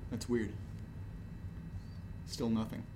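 A man's voice: lowish voice, flat delivery, takes it slowly, noisy background. An actor playing an alarmed, impatient young man in a naturalistic horror audio drama, questioning insistently. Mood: puzzled.

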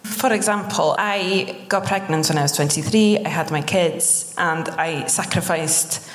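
A woman with a Welsh accent, in her late twenties, talks earnestly and quickly in a low voice. Welsh is her first language, and she laughs often between points. Her voice rings out with a long reverb, recorded live in a roomy hall. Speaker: guest, podcaster. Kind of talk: live podcast conversation. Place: live stage before an audience in a concert hall.

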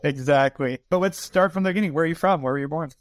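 A man's voice: on the high side, fast talker, no echo, clean studio sound. A man hosting a podcast interview in a casual, informal and inquisitive way, with some joking.

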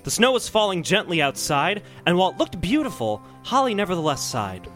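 A man hosting a comedy podcast, bantering casually and sometimes reading a story aloud in an excitable, mock-earnest way. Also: high voice, a little fast, soft background music.

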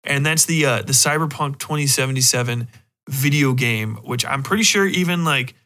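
A man hosting a podcast in a casual, rambling monologue, animated and swearing freely. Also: medium pitch, moderate pace. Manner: rambling, animated, heavily profane. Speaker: podcast host.

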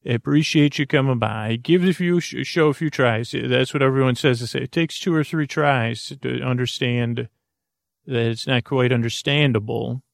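A man hosting a bedtime podcast, talking in a creaky voice and wandering through tangents with many fillers. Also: medium pitch, moderate pace, no room sound, clean studio sound. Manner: meandering, full of tangents and fillers. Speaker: podcast host. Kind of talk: rambling bedtime podcast intro.

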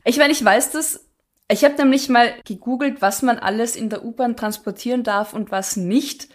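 Chatty high-voiced Austrian woman. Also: moderate pace. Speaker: podcast co-host. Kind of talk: casual chat, reading listener messages.

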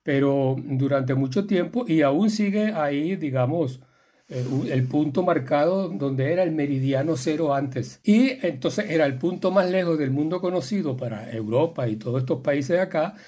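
An older man from the Canary Islands recalls his life in a mellow, warm voice. He talks in a reflective, rambling way, restarting phrases and often adding a questioning "no?" at the end. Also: fairly high pitch, moderate pace. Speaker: guest filmmaker. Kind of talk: relaxed storytelling.

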